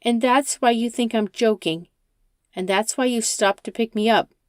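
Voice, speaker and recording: Narrator voicing a frantic, high-pitched distraught woman. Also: moderate pace, no room sound, clean studio sound, lightly acted. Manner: shouting, tearful, accusing.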